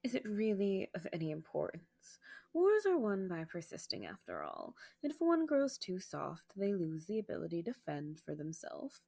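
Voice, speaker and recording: A creaky, husky voice with swooping intonation, a woman playing a proud vampire noblewoman in an audio drama. She is bitter and hardened, delivering formal reproach with drama. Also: lowish voice, wildly swooping pitch, moderate pace, dark tone, exaggerated voice. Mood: proud, defiant. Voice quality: husky, gravelly. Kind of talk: scripted ASMR audio roleplay acting.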